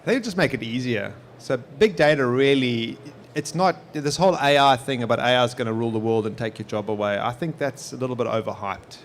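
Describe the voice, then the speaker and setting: South african man, forties, rapid talker, relaxed explainer, faint hall ambience. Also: medium pitch, slight background noise. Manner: quick, explanatory, easygoing. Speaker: guest, technology executive. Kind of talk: conversational interview answers. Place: live podcast stage at a property expo.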